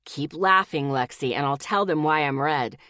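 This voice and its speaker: A husky young boyfriend who grumbles and teases in a low, gruff voice, as a woman reader drops her voice to play him. The audiobook read is dry and close, with no room sound. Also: measured pace, no echo. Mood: strained. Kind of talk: audiobook character voice.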